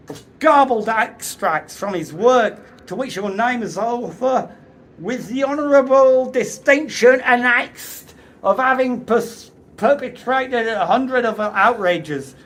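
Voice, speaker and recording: A woman voicing a bearded Victorian male author who rants in furious, booming oratory from a podium.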